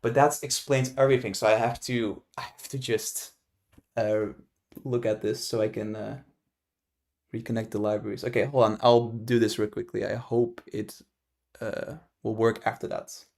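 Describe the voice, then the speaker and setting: A young man from the Netherlands, talking casually on a live stream while he makes music at his computer, rambling with fillers and restarts and sudden excited outbursts. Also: fairly low pitch, moderate pace, gravelly.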